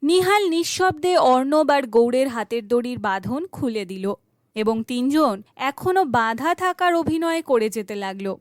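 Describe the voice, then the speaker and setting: A woman storyteller reads a children's folk tale in a high-pitched voice. Her delivery is very lively and melodic, recorded dry and close as scripted narration. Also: a little slow, no echo.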